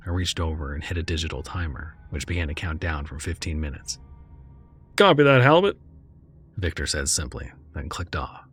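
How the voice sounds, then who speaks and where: A man narrating a scripted horror story with a hushed, dread-filled delivery. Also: extremely low pitch, steady tone, fairly quick, hardly any pauses, breathy edge, soft background music.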